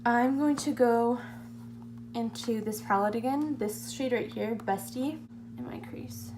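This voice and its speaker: A young woman with a fairly high, slightly hoarse voice talks casually through a makeup routine. She rambles and puts herself down as she goes. It is an amateur home recording with a clear hum and noise behind her. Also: unhurried, low-quality recording. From California, United States. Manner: self-deprecating, rambling, trailing into mutters. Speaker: beauty vlogger. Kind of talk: casual makeup tutorial chat.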